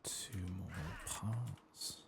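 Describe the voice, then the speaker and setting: Man, very low voice, unhurried, soft-spoken ASMR game commentary, murmured and half-whispered, faint background noise. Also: gravelly. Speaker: gaming streamer, ASMR host.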